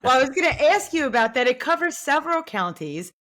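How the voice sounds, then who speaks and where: A woman's voice: sits high, sing-song, fast talker, fairly bright tone. A woman, hosting a podcast and asking conversational questions. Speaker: podcast host. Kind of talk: podcast interview.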